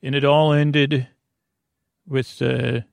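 A man speaks with a gravelly, creaky voice at a medium pitch. He hosts a bedtime podcast in a rambling way, trailing off and circling back. The recording is dry and close. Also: little pitch movement, takes it slowly, dry recording. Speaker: podcast host.

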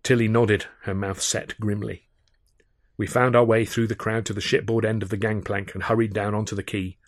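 A man reading a first-person audiobook in a measured, expressive and wry storytelling manner, close on the microphone. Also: fairly low pitch, no echo. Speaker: author reading his own novel.

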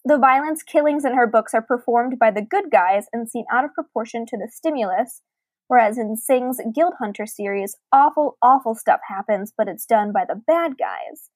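A woman with a fairly high voice co-hosts a podcast. She speaks in an articulate, conversational way, whether reading aloud or chatting. The sound is close and dry, with very little room in it. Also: moderate pace, darkish tone, no echo, low-quality recording. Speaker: podcast co-host. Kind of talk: reading listener email aloud and casual book discussion.

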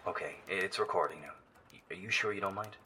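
An actor playing a weary, wry professor out in a wasteland in a horror audio drama, earnest, self-deprecating and halting. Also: male voice, deep voice, heavy background noise, natural voice. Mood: cautious.

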